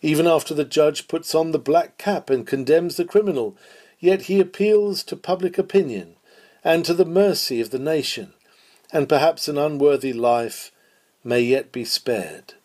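A man's voice: fairly high pitch, normal speed, a little mellow. Man solemnly reading an old-fashioned sermon. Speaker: reader of a historical sermon. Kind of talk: read-aloud sermon, scripted oratory.

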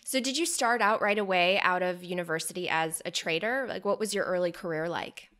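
A woman's voice: on the low side, brisk, fairly bright tone. A woman born in Poland and raised in the United States, hosting a podcast and asking curious, conversational questions. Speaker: podcast host. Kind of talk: interview questions.

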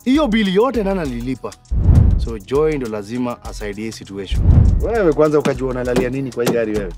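A man's voice: mid-range voice, big pitch swings, quick pace, natural voice. An actor playing a flustered middle-aged man in a midlife crisis, speaking with a Kenyan accent in a TV comedy-drama. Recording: music bed under dialogue.

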